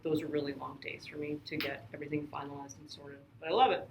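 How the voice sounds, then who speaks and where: Low-voiced emphatic Canadian woman. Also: flat delivery, creaky, a little hiss or hum. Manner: brisk, emphatic listing. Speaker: field programs coordinator. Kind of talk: casual podcast interview.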